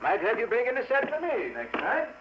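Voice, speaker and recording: An actor playing a polite, mildly officious immigration inspector with formal manner in a 1950s radio drama. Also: male voice, very high-pitched, huge pitch swings, heavily acted. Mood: courteous. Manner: formal, measured. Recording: muffled 1950s radio recording with hum.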